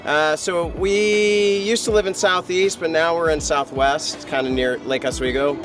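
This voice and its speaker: A man's voice: a little high, fairly even intonation, a little slow. A middle-aged man and local parent answering a street interviewer in an easygoing, hesitant way. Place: outdoors on a city street. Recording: outdoor street recording with music bed.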